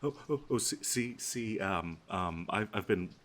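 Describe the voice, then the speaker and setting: A man playing a nervous, lovestruck and obsessive best friend in an intimate audio roleplay, stammering and haltingly giggling as he speaks to the listener. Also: lowish voice, lively intonation, a bit bright, slight background noise. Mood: nervous, eager.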